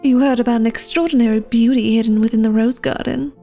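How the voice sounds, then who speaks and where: A woman's voice: on the high side, fairly even intonation, quick pace, gravelly, dark tone, faint music behind. A woman playing an elegant, secretive vampire lady of a manor in a flirtatious ASMR roleplay, speaking formally and coyly to the listener. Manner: formal, genteel, coy, teasing speech addressed to the listener.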